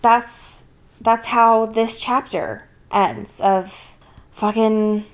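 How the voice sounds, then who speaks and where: A woman's voice: fairly high pitch, fairly even intonation, very slow. A woman podcast host casually recapping a book in a sardonic, informal, sweary manner.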